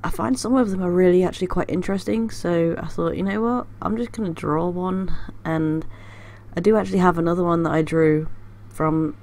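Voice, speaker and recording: A woman's voice: on the low side, fairly even intonation, a little fast, darkish tone, slight background noise. Woman with a head cold, chatty. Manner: chatty, with many ums and restarts. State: has a cold, congested voice. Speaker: art channel host. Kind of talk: casual vlog chat.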